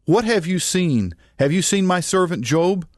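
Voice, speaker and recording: A man's voice: high voice, lively intonation, measured pace, no room sound, clean studio sound, voice pushed up. A man voicing a stern, commanding God from a preached Bible story, declamatory in manner. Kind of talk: audiobook character voice.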